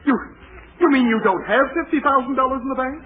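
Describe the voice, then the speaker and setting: Flustered, high-pitched school dean. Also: male voice, quick pace, narrow, tinny audio. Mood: worried, exasperated. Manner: formal, stammering when flustered. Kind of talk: 1940s radio comedy acting. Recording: thin band-limited 1940s radio, audience noise.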